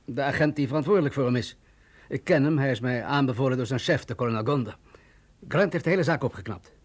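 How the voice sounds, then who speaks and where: Actor as a husky, anxious security chief. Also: male voice, medium pitch, fast, lightly acted. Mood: explaining, tense. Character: anxious male security chief, wound tight, cynical strategist. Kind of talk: radio drama dialogue.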